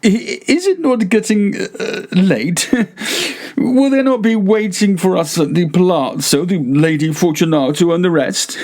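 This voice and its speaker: A man voicing a doomed, terrified nobleman in a story reading, shrill and halting, desperately laughing things off. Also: darkish tone. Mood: nervous pleading. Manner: halting, stammering, punctuated by giggles.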